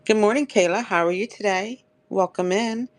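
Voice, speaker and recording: A woman's voice: medium pitch, moderate pace. A woman hosting a live online auction of pottery, chatty and upbeat, her throat dry and crackly. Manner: chatty, upbeat, addresses viewers by name. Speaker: live-stream seller. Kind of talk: live auction selling chat.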